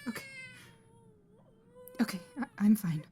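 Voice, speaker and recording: A woman's voice: mid-range voice, huge pitch swings, measured pace, warm tone, slight background noise. An actress playing an anxious, defensive young mother in an audio drama. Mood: shaky, subdued.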